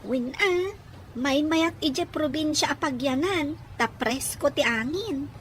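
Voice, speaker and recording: Young provincial Filipina new in the city, acted by a woman; very high voice, audio drama, some background noise. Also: slow pace. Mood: disapproving, wistful. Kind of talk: amateur Ilocano audio drama acting.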